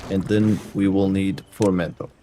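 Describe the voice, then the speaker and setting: A man's voice: sits low, level tone, moderate pace, dark tone, faint music behind. A Canadian man streaming a game, chatting to his viewers in a put-on foreign accent that drops articles. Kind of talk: casual gameplay chat with viewers.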